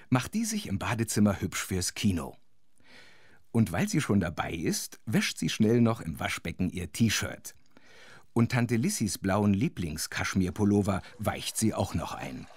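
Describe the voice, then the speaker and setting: A man's voice: on the low side, very animated, moderate pace, slightly rough, dry recording, clean studio sound. A man narrating a scripted children's story, with playful, conspiratorial asides to the listener.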